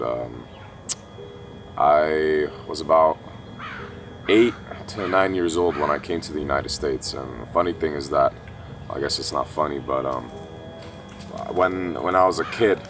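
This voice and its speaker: A young man from Kuwait whose first language is Armenian, earnestly telling his life story with many fillers and pauses. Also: rumbling low, distant mic, background noise. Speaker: esports player profiled. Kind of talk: reflective personal storytelling.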